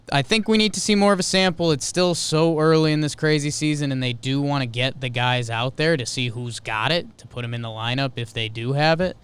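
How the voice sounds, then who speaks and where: A man's voice: mid-range voice, fairly bright tone, clean tone. A man co-hosting a sports podcast, talking casually and animatedly, arguing his points with stats and rhetorical questions.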